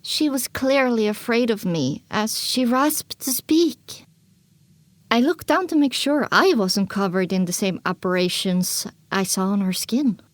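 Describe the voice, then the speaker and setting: A woman's voice: on the high side, expressive, a little slow, no room sound. A woman narrating an audio drama in the first person, with a dramatic scripted delivery.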